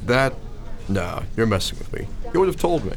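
A man's voice: medium pitch, sing-song, moderate pace. An actor playing an earnest, sheltered young college freshman in an audio drama, hesitant and incredulous. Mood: alarmed disbelief.

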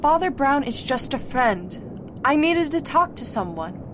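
A woman's voice: sits high, a little slow. A woman playing a determined young woman who firmly defends her fiancé to her mother, in an amateur radio drama. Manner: firm, patient, defiant. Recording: noisy recording with steady hiss.

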